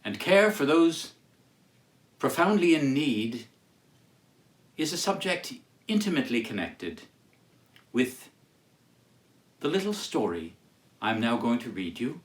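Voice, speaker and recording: A man reads aloud like a stage storyteller, formal and declamatory. His pace is very measured, with dramatic pauses between phrases. His middle-range pitch rises and falls widely. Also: sing-song. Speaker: storyteller reading as Charles Dickens. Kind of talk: theatrical literary reading with introduction.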